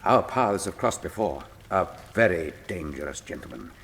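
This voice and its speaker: Theatrical, precise gentleman detective. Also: sits low, big pitch swings, moderate pace, creaky, a little hiss or hum, heavily acted. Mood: commanding, intent. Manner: theatrical, precise, formal diction. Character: shrewd, commanding English gentleman detective, affectionate but superior. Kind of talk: radio drama acting.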